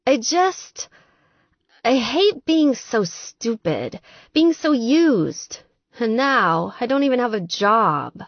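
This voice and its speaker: A woman's voice: on the high side, very animated, takes it slowly, no echo, dull audio, voice pushed up, full character voice. A woman voicing a tipsy, heartbroken young woman in an audiobook, plaintive and self-pitying, with a sighing, drunken delivery. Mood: miserable. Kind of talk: audiobook character dialogue.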